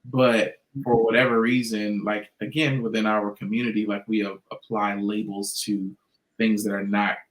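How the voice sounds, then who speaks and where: A man's voice: lowish voice, little pitch movement, moderate pace, poor audio. A man speaking as a podcast guest, telling a personal story in a reflective, hesitant way. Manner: reflective, hesitant, with fillers and restarts.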